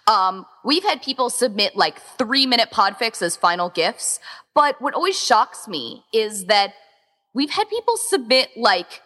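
A woman talks in a casual interview with a bright, highly animated voice. Her delivery is informal and emphatic, peppered with "like" as she gushes. The recording carries a long reverberant tail. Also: measured pace. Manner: informal, gushing, emphatic, says "like" constantly. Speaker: podcast interview guest, event organizer.

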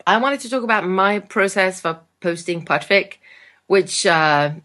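A woman recording a casual monologue about her own process, speaking in a halting, reflective way. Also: medium pitch, animated, fairly bright tone. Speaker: podcast contributor with recorded commentary.

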